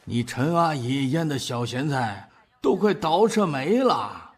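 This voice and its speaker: A man acting a gruff, teasing, doting old grandfather. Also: a little high, sing-song, unhurried, heavily acted. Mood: teasing scolding. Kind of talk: Chinese audio drama acting.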